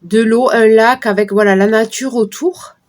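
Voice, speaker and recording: Hoarse middle-aged woman, casual card reader, chatty asides. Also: on the high side, fairly even intonation, normal speed, darkish tone. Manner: chatty, talks to viewers while shuffling cards. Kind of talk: casual card-reading livestream talk.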